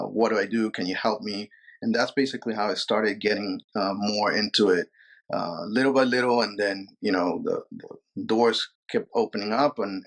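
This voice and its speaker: A man's voice: on the low side, a bit bright, low-quality recording. A man from Peru, a sports lawyer, answering interview questions casually with many fillers and repeated words.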